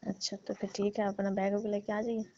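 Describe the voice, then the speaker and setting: A woman's voice: medium pitch, flat delivery, fairly quick, a little mellow, slight background noise. A young woman on a staged comic phone call, teasing and coaxing the man she calls uncle, playing a patient. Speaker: caller posing as patient. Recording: muffled phone call recording.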